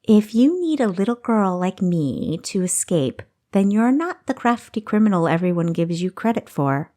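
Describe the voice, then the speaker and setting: A woman playing a sharp-tongued, sarcastic young female adventurer in a fantasy story, full of scorn. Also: medium pitch, very animated, normal speed, lightly acted. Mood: scornful.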